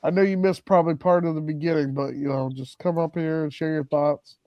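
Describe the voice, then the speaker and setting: A man's voice: a little high, fairly even intonation, fairly quick, no echo, dull audio. A man co-hosting a live stream, friendly and inviting viewers to join. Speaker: stream co-host. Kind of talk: casual chat on a live stream.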